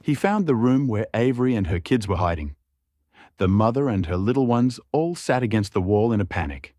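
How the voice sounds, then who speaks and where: A male storyteller with a fairly deep voice reads dramatic fantasy prose. His intonation rises and falls expressively for suspense, and the sound is very dry and close. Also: expressive, brisk, darkish tone, dry recording. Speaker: narrator. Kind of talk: scripted dramatic fantasy narration.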